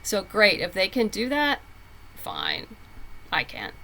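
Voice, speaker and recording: A woman's voice: mid-range voice, wildly swooping pitch, takes it slowly, vocal fry, pushed and bright. A woman speaking as a writer guest in a casual interview, candid, emphatic and plain-spoken.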